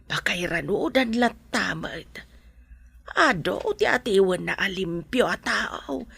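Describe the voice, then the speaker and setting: A woman's voice: mid-range voice, lively intonation, takes it slowly, gravelly, fairly bright tone, slightly rough, dry recording, heavily acted. A woman with an Ilocano accent playing a loving, worried rural mother of a grown son in an audio drama. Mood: worried, tender.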